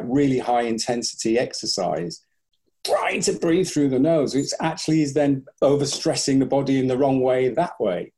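Mellow-voiced male breathing coach. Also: mid-range voice. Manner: thoughtful, restarts often, acts out clients' voices. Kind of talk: podcast interview.

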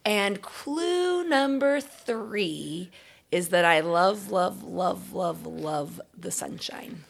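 A woman with a fairly low voice and plenty of fry reads aloud from a children's book. She is a guest story reader who delivers the tale expressively. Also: lively intonation, drawn-out words.

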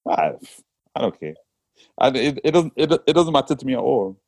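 A man's voice: medium pitch, very animated, normal speed, a little mellow, slightly rough, no echo. A man from Trinidad with a Trinidadian accent, talking reflectively as a poet in a casual interview. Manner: reflective, with frequent restarts and "you know what I'm saying". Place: England.

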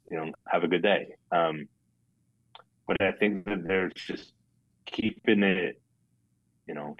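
Deep monotone guy explaining his sales process, dry close sound, kinda lo-fi. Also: no room sound. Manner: monotone, says so himself; plainspoken, explains step by step with frequent "right?". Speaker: life insurance agent, podcast guest. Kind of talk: casual interview talk.

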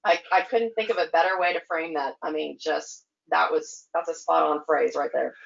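A woman's voice: low-pitched, steady tone, moderate pace, creaky, lo-fi sound, slight background noise. A woman guest on a podcast, chatty and easygoing, using lots of fillers and laughing easily. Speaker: guest, skating association staff. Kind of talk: casual podcast interview.

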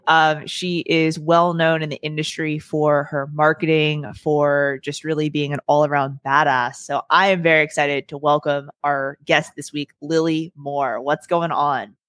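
A woman's voice: sits low, flat delivery, unhurried, hardly any pauses, fairly bright tone, no echo. A woman hosting a casual real estate podcast, friendly and upbeat with many fillers.

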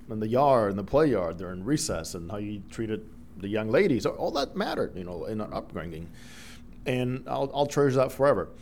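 A middle-aged man from the Dominican Republic whose first language is Spanish, a broadcaster telling lively stories as a guest in an interview. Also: sits low, slight background noise. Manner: animated storyteller, acts out quoted voices. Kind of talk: storytelling interview.

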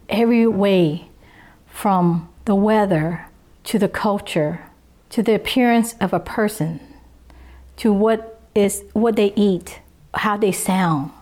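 A woman's voice: medium pitch, a little mellow. A woman in her fifties, born in Vietnam with Vietnamese as her first language, speaking in a Southern US accent, earnestly recalling her life in an interview. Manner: earnest, unhurried, with pauses. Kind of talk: reflective personal testimony.

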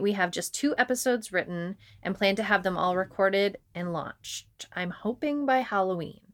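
A woman's voice: medium pitch, fairly bright tone. A woman co-hosting a podcast, talking conversationally as a fiction writer.

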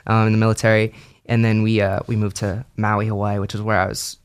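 A man's voice: lowish voice, completely flat delivery, a little fast, clean tone. A young man answering interview questions in an easygoing way, with lots of ums and friendly agreement. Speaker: guest musician.

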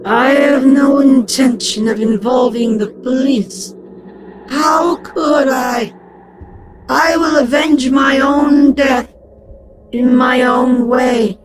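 A woman's voice: sits high, fairly even intonation, heavy background noise. A woman voicing the cold, menacing ghost of a murdered young woman in a spoken horror story. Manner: cold, menacing, slow and drawn out.

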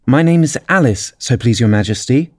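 A well-mannered, plucky young girl in a storybook, voiced by a male narrator. The pitch is raised, and the manner is polite, decided and self-possessed. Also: very animated, normal speed, darkish tone, slightly rough, dry recording, clean studio sound. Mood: polite, firm.

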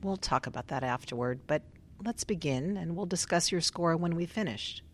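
Low-pitched, composed woman researcher played by an actress. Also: animated, a little hiss or hum. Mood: procedural. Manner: formal, measured, procedural. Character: composed, polite, methodical woman research doctor. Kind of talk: radio drama acting.

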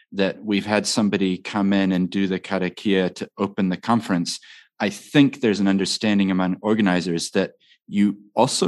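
Lowish-voiced male interviewer from New Zealand. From grew up in New Zealand. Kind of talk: casual interview chat.

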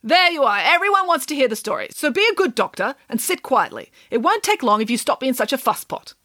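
A woman's voice: high voice, big pitch swings, brisk, sharp tone, no room sound, studio quality, voice pushed up, heavily acted. A woman voicing a bossy, imperious female pig nanny in a storybook, speaking haughtily and properly.

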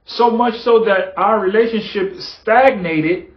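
A man's voice: sits high, slow pace. A man hosting a motivational podcast, talking earnestly and repeating phrases for emphasis. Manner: earnest, repetitive, building emphasis. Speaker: podcast host. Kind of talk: motivational monologue. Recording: echoey, dull lo-fi room sound.

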